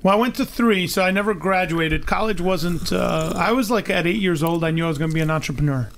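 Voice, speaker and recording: A man in his forties from Long Island, telling stories as a podcast guest in an animated, laughing way. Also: high-pitched, a little fast, gravelly, a little hiss or hum. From Long Island, New York. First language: Greek. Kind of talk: storytelling in an interview.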